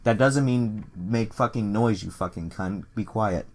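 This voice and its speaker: Man, low gravelly register, casual mock-dramatic reader who swears freely. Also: a little slow, a little mellow. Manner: profane, exasperated asides. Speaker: reader and commentator. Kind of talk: mock-dramatic reading of crude fan fiction with casual asides.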